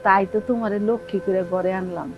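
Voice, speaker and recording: A woman's voice: mid-range voice, steady tone, fast talker, lo-fi sound, music in the background, close to an everyday voice. An actress playing a careworn, affectionate middle-aged widowed mother in a drama, speaking plaintively with a rural Bangladeshi accent. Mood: wistful. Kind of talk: TV drama acting in village dialect.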